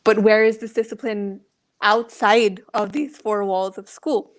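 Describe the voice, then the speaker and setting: A woman's voice: mid-range voice, steady tone, unhurried. A woman from India giving thoughtful, articulate answers as a guest on an online panel, with rhetorical questions and the odd "right?". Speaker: panel guest speaking as a learner. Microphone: online video call.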